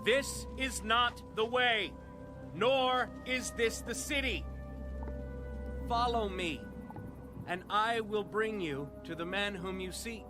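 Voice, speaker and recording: Commanding prophet over swelling music. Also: male voice, high voice, slow pace, pauses often. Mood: calm, assured. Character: Elisha, commanding male Bible prophet, solemn and assured. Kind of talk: dramatized audio Bible acting.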